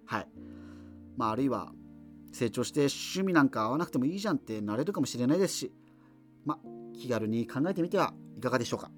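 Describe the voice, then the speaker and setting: An actor playing a self-deprecating, subculture-obsessed radio host, rambling through a monologue with apologetic asides in a radio drama. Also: male voice, mid-range voice, animated, fast talker, long pauses, faint music behind, close to an everyday voice. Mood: wry, apologetic. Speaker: radio show host answering listener letters.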